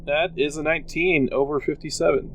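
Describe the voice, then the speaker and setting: A man's voice: on the high side, fairly even intonation, a little fast, a little hiss or hum, rough recording. A man talking casually as a player in a tabletop game.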